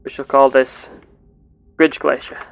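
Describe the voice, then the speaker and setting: A man voicing a curious, earnest space explorer with measured, formal, theatrical phrasing, narrating a sci-fi game roleplay. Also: mid-range voice, level tone, vocal fry, smooth, no echo, muffled recording. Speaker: gameplay host.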